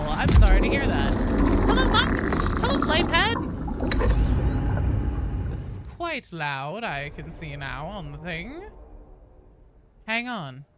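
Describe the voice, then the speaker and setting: A woman hosting a game livestream, chatting casually with viewers in an animated, informal, freely swearing way. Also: high-pitched, noisy background. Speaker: live streamer hosting a game stream.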